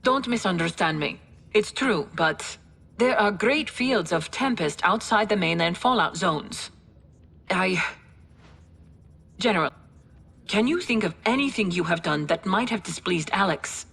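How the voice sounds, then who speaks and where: An actress playing a dry, wry female military adviser with a husky voice, briefing in a matter-of-fact way in a game cutscene. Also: mid-range voice, animated, measured pace, long pauses, fairly bright tone, heavily acted. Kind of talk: video game cutscene acting.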